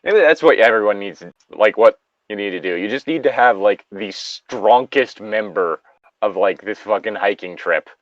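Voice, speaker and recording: A man's voice: lowish voice, moderate pace, dry recording, highs cut off. A man reading a story aloud casually, breaking off into loose, profane banter.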